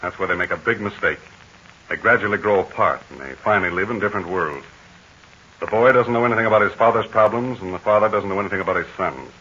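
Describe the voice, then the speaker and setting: An actor playing a calm, fatherly heroic aviator in a 1940s radio serial, speaking in measured, assured tones. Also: fairly low pitch. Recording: dull scratchy 1940 radio transcription.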